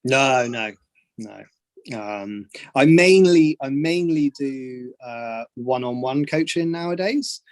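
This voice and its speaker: English photo coach, friendly, stop-start talk, dry close sound, mid voice. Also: male voice, mid-range voice, lively intonation, takes it slowly, no echo. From England. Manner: friendly, many restarts and fillers. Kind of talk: casual Q&A on a video call. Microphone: video call.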